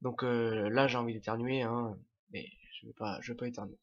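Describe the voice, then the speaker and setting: A man's voice: mid-range voice, steady tone, fairly quick. A young man with a French accent talks through his gameplay while fighting a cold. He hesitates often, restarts his sentences and leans on fillers. The audio sounds dull and lo-fi, like a cheap home microphone. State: has a cold, sniffling. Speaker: gameplay commentator. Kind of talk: casual game commentary.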